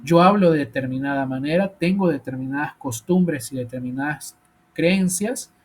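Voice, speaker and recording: A man's voice: a little high, normal speed, slightly rough. A man teaching a philosophy class, thinking aloud with many fillers and rhetorical questions. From El Salvador. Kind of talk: university lecture.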